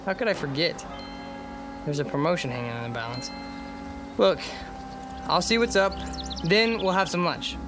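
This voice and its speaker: Young newlywed husband for radio drama. High voice, conversational, placating, mildly nervous, scored with music. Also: male voice, animated, normal speed, long pauses. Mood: soothing. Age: young adult. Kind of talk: naturalistic radio drama dialogue.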